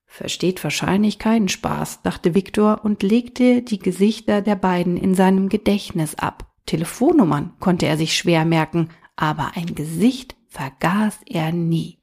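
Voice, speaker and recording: Audiobook narrator: woman, low register, slightly breathy, calm and even storytelling. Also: unhurried, few pauses.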